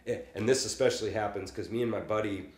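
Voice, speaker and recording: Casual male host, rambling and easygoing, lowish voice, roomy echo. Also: fairly even intonation, quick pace. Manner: laid-back, rambling, frequent backchannels. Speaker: podcast host. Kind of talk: casual podcast interview.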